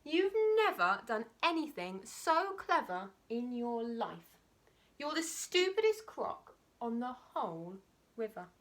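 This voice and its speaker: Storybook sidekick: a woman as a scornful little-brother type crocodile, pitched high, emphatic and contrary. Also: big pitch swings, slow, fairly bright tone, heavily acted.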